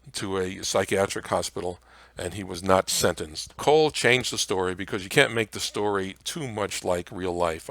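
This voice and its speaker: An American man presenting an informal history lecture, explaining in an anecdotal way with halting phrasing. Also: lowish voice, creaky, a bit bright, no echo. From Mount Vernon area, New York, United States. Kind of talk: informal slide lecture on old-time radio history.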